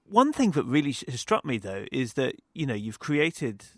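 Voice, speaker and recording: A man's voice: mid-range voice, very animated, normal speed, dry recording. Conversational male host, dry close sound. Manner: conversational, searching for words. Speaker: podcast host. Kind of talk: casual interview questions.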